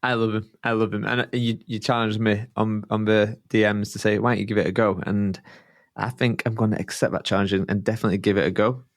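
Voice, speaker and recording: A man interviewing a guest on a podcast, his voice pitched somewhat low. He speaks warmly and loosely, stopping and restarting his phrases. The recording is dry and close. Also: flat delivery, fast, no echo. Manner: friendly and hesitant, with fillers and half-started phrases. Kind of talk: casual interview chat.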